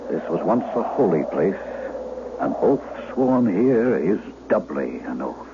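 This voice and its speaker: An actor playing a menacing, commanding duke in an old-time radio drama, with imperious, formal archaic speech. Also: male voice, low voice, big pitch swings, measured pace, full character voice. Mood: solemn, commanding. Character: menacing, commanding English duke intimidating a peasant boy. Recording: dull, noisy vintage radio broadcast.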